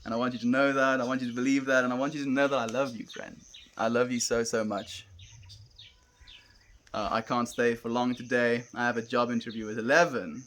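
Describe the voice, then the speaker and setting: Gentle South African man streaming outdoors. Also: mid-range voice, little pitch movement, brisk, slight background noise. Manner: gentle, earnest, calls viewers friend. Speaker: livestreamer. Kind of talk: casual live stream chat reading comments. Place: outdoors, wind and cows nearby.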